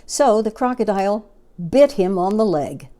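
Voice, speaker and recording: A woman's voice: mid-range voice, very animated, normal speed, mellow. A woman reading a children's storybook aloud as narrator.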